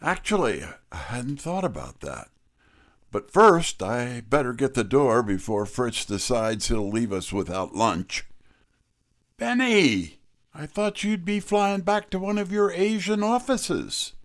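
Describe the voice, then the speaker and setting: An actor playing a wry, wisecracking detective's legman, delivering scripted mystery narration and dialogue in a folksy, unhurried way. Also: mid-range voice, very animated, dry recording, studio quality. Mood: casual.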